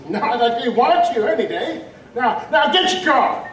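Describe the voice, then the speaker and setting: An actor playing a gruff, evasive hall master in an old seaport, grumbling in rustic old-time dialect. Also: medium pitch, lively intonation, measured pace, far from the mic, close to an everyday voice. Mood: gruff, defensive. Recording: echoey, off-mic, ambient noise behind.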